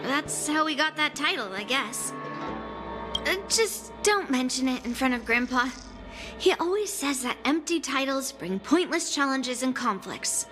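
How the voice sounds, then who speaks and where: A woman's voice: high-pitched, lively intonation, a little slow, over music. A woman voicing a cocky, blunt young sword prodigy girl in a video game, with a confident manner. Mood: casual, proud.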